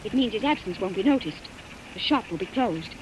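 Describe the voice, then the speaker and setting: A woman acting in an old-time radio suspense drama as a frightened but quick-thinking accomplice, urgent and melodramatic. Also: mid-range voice, expressive, lightly acted, low-quality recording. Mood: urgent, scheming. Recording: vintage radio, faint noise and rain.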